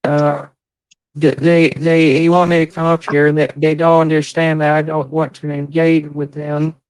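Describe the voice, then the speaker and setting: A man's voice: fairly high pitch, flat delivery, moderate pace, a little mellow, smooth, no echo, low-quality recording. A man in a casual livestream chat, hosting and speaking in a profane, aggrieved, defensive way. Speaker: livestream host.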